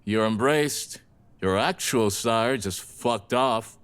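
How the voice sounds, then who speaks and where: A man's voice: fairly low pitch, slow pace, a bit bright, smooth. A man playing a laid-back, blunt rebel vampire in an audio drama, talking in casual slang. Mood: probing.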